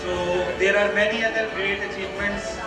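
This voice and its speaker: A man's voice: sits high, level tone, brisk, echoey room, far from the mic, loud music behind the voice. A man with a Pakistani English accent moderating a conference panel, reading out speaker bios in a playful, loose way. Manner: playful, reads bios with fillers.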